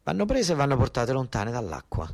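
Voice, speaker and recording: A male stage storyteller with a southern Italian accent and a middle-pitched voice. He delivers a monologue in everyday, colloquial speech, hammering points home by repeating them. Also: wildly swooping pitch, fairly quick, gravelly. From Basilicata, southern Italy. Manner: colloquial, insistent, repeating phrases for emphasis. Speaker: actor-storyteller. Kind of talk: narrative theatre monologue.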